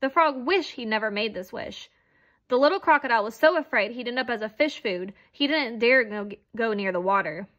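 A woman's voice: on the high side, animated, fairly quick, a bit bright, dull audio. A woman reading a children's picture book aloud as narrator. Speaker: storybook reader.

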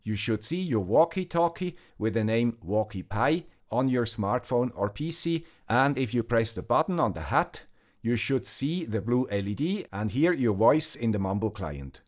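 Man, Swiss German accent, calm tutorial voice-over, very lively intonation, methodical. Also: medium pitch, normal speed. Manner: calm, methodical, step-by-step explaining. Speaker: electronics video host. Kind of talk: scripted tech tutorial narration.

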